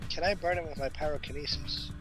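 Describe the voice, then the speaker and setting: Bright, forceful male tabletop player. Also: fairly high pitch, fairly even intonation, fast, soft background music, rough recording. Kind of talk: casual chat during a game.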